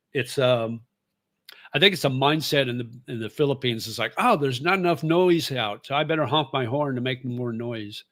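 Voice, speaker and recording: A man's voice: mid-range voice, fairly bright tone, no echo, clean studio sound. Easygoing man chatting on a livestream. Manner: rambling, easygoing, frequent fillers and chuckles. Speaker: livestream host; former radio host. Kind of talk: casual live chat reading viewer comments.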